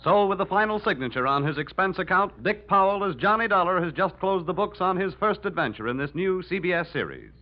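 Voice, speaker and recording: Casting: male American announcer for old-time radio credits, slightly high voice, music underneath. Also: animated, fairly quick, hardly any pauses. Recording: muffled vintage radio, music behind.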